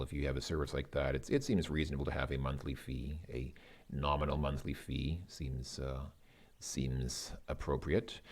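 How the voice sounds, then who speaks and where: Male, deep voice, informal tutor, hesitant delivery, reasons through translations as he speaks. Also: darkish tone. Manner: hesitant, halting, thinking aloud. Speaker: language learner presenting a Russian lesson. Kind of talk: informal lesson, translating and thinking aloud.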